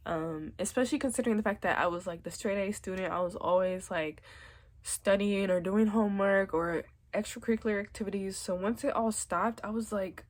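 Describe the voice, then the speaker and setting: A woman's voice: mid-range voice, fairly quick. A young woman with a stuffy, congested nose from a cold, giving chatty, reassuring advice in a casual vlog. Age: young adult. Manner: chatty, reassuring, many likes and literallys. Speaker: vlogger.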